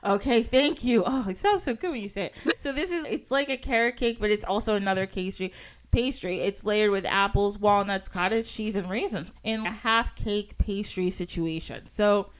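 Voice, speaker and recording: A woman's voice: mid-range voice, normal speed. A woman hosting a podcast, talking in a chatty, casual way with lots of fillers and frequent giggles, sometimes reading from an article. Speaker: podcast host.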